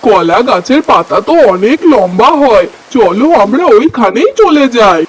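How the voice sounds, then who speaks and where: A woman puts on a male crow from a cartoon fable. The voice sits at a middling pitch and talks in a practical, declamatory manner, as if instructing a companion. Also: lively intonation, measured pace, breathy edge. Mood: urgent. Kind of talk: cartoon story character voice.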